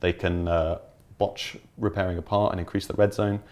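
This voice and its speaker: A man with a deep, low voice talks through details as a developer in an interview. His delivery is informal and enthusiastic, like a relaxed explanatory chat. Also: moderate pace, a little hiss or hum. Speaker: game developer being interviewed.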